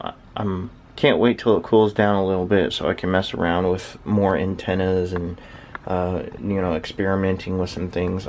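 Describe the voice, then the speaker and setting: A man's voice: low voice, a little mellow, slight background noise. A man giving a casual, unscripted hobby demonstration, rambling and hesitant as he thinks aloud. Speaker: hobbyist shortwave listener presenting own station. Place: small uninsulated shed with workbench.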